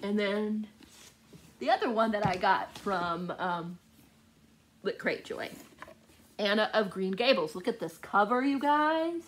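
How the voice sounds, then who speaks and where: A woman's voice: mid-range voice, animated, measured pace, long pauses, slight background noise. A woman chatting casually to viewers as a book vlogger, gushing and often repeating words for emphasis.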